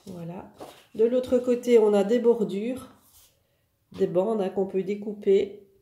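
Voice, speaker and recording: A woman with a head cold talks through new paper collections for her online craft shop, chatty and full of fillers. Her voice is very dark and mellow. The room adds a noticeable echo. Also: medium pitch, slow. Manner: chatty, many "euh" and "donc" fillers. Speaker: online craft shop owner presenting products. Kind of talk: casual product showcase.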